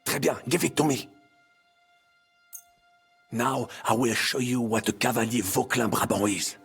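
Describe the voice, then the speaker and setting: An actor playing an older, boastful French knight in a video game, with a French accent and a theatrical, pompous manner that peppers speech with French. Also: male voice, medium pitch, moderate pace, vocal fry, a bit bright, husky, no echo, soft background music, heavily acted. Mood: haughty. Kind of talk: video game dramatic acting.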